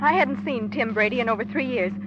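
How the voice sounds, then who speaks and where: A woman's voice: on the high side, brisk, close to an everyday voice. A woman playing a guarded, anxious doctor's wife with a secret in a 1940s radio drama, speaking in clipped, coolly polite phrases. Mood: pleading, tense. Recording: dull noisy old radio recording.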